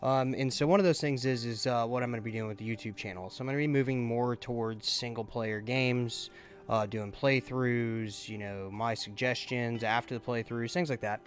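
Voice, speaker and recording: A man giving casual, halting gameplay commentary as a YouTuber, thinking aloud as he goes. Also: medium pitch, fairly even intonation, soft background music. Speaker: gaming YouTuber.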